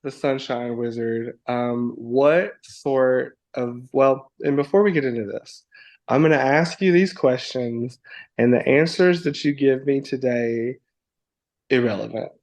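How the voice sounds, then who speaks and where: A man's voice: medium pitch, measured pace, a little mellow. A man chatting as a podcast guest, upbeat and playful, who slips into grand mock-formal wizard talk and laughs often. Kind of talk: enthusiastic casual chat.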